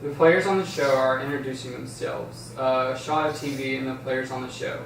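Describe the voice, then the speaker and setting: A man's voice: medium pitch, steady tone, brisk, gravelly, fairly bright tone. A man narrating stage directions at a script table read, recorded in an echoey room from a distance. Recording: echoey room, distant mic, faint noise.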